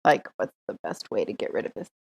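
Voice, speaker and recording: A woman's voice: fast, a little mellow. A woman playing a tabletop game, chatting casually as she questions and thinks her options through aloud. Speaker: player in a tabletop RPG.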